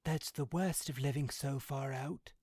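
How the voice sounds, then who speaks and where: An excitable old father from a storybook, acted out by a male narrator. His voice is lifted well above a low speaking pitch, with a grumbling, inquisitive manner. The recording is close and dry. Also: measured pace, dry recording, close to an everyday voice. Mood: exasperated. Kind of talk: storybook character voice.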